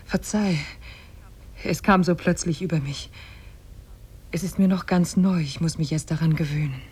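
An actress playing a cunning captive woman who feigns love, soft and seductive, in a 1950s German radio play. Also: deep voice, moderate pace. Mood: submissive. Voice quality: very breathy, often near whispering. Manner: soft, coaxing, hesitant.

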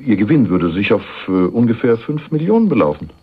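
A man's voice: on the low side, very animated, fairly quick, slightly rough. An actor playing a cunning, cynical man who offers himself as a hired killer in a German radio crime drama, sly and self-assured. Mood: sly, insinuating. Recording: dull, muffled radio recording.

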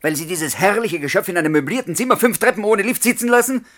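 A man's voice: sits high, quick pace, fairly bright tone. A man playing a bold but polite young suitor who also narrates his story in a German radio play. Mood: bold, challenging. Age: young adult. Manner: courteous, provocative, crisp.

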